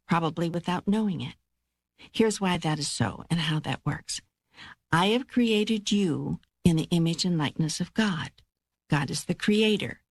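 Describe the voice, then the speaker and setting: God for an audiobook, a wise and reassuring spirit with a low voice. The delivery is assured and measured, with a teasing wit, recorded dry and close. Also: female voice, no room sound. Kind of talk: dramatised audiobook dialogue.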